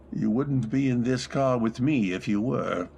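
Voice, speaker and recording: A man's voice: mid-range voice, takes it slowly, vocal fry, darkish tone, slight background noise. A man in a community radio drama playing a brooding, wealthy widower who turns abruptly commanding. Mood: curious. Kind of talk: old-time radio drama acting.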